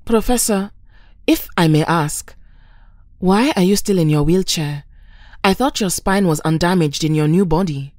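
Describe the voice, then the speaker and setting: Stately heroine actress: deep for a woman, poised and formal, close dry sound. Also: measured pace, darkish tone, no echo, lightly acted. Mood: calm, caring. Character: dignified, calm female weather-wielding superhero. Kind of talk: audio drama acting.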